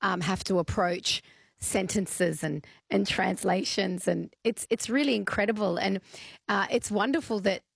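A woman's voice: mid-range voice, unhurried, vocal fry, breathy edge, no room sound. A woman hosting a live radio talk show, chatty and full of fillers and restarts. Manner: chatty and warm-hearted, with many fillers and restarts.

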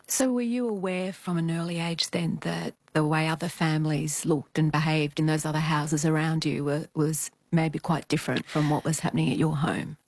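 A woman's voice: low voice, fairly even intonation, normal speed, hardly any pauses, darkish tone, no room sound. A woman, asking questions as an interviewer.

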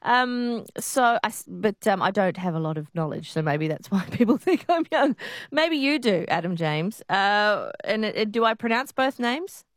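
A woman's voice: mid-range voice, lively intonation, moderate pace, no echo, clean studio sound. Woman hosting a radio quiz. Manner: laughing often, friendly banter with callers.

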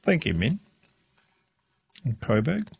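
A man's voice: mid-range voice, very animated, slow pace, vocal fry, darkish tone, slightly rough. A man with an Australian accent hosting a talkback radio show, chatting and reading out listener messages. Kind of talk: casual talkback radio chat.

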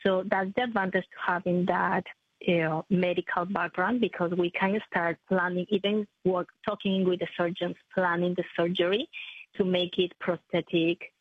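A woman, a prosthetist answering interview questions in a halting, self-correcting way. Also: lowish voice, level tone, husky, dry recording. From Ecuador. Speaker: maxillofacial prosthetist, guest. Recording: tinny phone-like line, dry.

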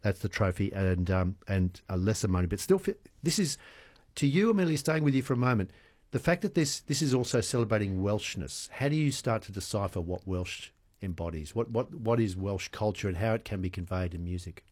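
A man's voice: lowish voice, lively intonation, fairly quick, darkish tone. A man hosting an interview, playful and jokey, with rambling questions. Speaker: radio host. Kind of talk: chatty interview.

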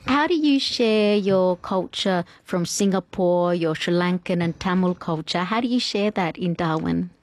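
A woman presents a radio segment in a relaxed way, with occasional ums. Her voice is fairly low and sounds close and dry on a studio microphone. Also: a little slow, hardly any pauses, dry recording.

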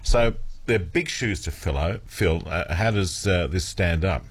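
A man's voice: low-pitched, unhurried, husky. A man hosting an afternoon radio show, asking easygoing questions. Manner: relaxed, easygoing questions with fillers. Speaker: radio host. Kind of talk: casual radio chat.

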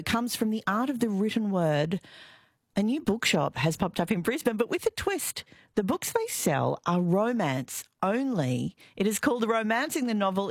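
A woman's voice: mid-range voice, no room sound. An Australian woman hosting a local radio show in a studio, chatty and jokey, repeating quiz clues and phone numbers. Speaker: radio host. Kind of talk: breakfast radio presenting. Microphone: studio mic.

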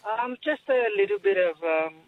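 A man's voice: sits high, expressive, moderate pace, no room sound. A man from Bangladesh, calling in to a radio show and speaking hesitantly with many fillers. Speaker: caller. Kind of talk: phone-in conversation. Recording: distant tinny phone line with faint hiss.

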